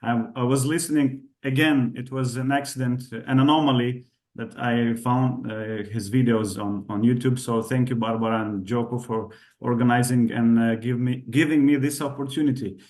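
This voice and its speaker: A man with a Romanian accent, whose first language is Romanian, asking a question in a video-call discussion. He speaks politely and hesitantly, with many pauses and restarts. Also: mid-range voice, fairly even intonation, a little mellow. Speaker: audience member asking a question.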